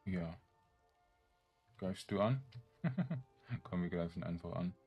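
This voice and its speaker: A man's voice: low voice, lively intonation, takes it slowly, breathy edge, highs cut off. A man giving casual let's play commentary, murmuring to himself in short remarks. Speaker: gamer, let's play host. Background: game music.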